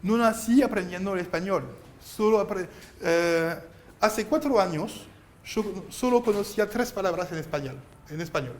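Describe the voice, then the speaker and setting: French-speaking man lecturing, high voice. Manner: explains step by step, insistent, gently scolding; halting, restarts while writing on board. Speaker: university math lecturer. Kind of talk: classroom lecture. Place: lecture hall.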